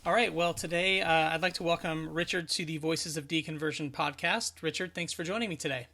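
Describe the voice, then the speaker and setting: A man's voice: fairly high pitch, fairly even intonation, brisk, sharp tone. A man in his thirties hosting a conversational interview podcast and introducing his guest. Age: 30s. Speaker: podcast host.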